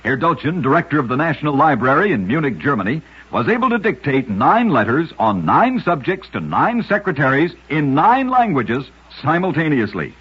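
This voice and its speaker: A man's voice: on the high side, very animated, moderate pace, few pauses, husky. A man narrating short scripted oddity stories as a radio host, with a dramatic storytelling delivery. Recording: muffled vintage radio recording.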